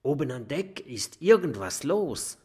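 Ein Mann spricht einen kleinen, neugierigen Drachen. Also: male voice, mid-range voice, sing-song, a little slow, a little mellow, husky, pitched above the performer's own voice. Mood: curious. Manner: inquisitive. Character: curious little male dragon.

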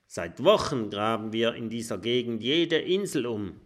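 Ein Mann spricht eine nüchterne Piratin. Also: male voice, mid-range voice, expressive, a little slow, natural voice. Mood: weary. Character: matter-of-fact female pirate.

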